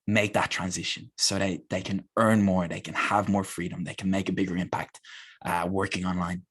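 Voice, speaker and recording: A man's voice: sits low, flat delivery, normal speed, fairly bright tone, slightly rough. A man speaking as a guest on a webinar over a video call, telling his career story earnestly and with enthusiasm, often repeating words as he restarts.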